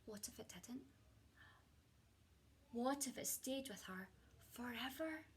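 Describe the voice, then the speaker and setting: A woman reading a children's picture book aloud in an expressive storytelling way, as a teacher speaking to young listeners. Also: on the high side, slow pace, a little hiss or hum.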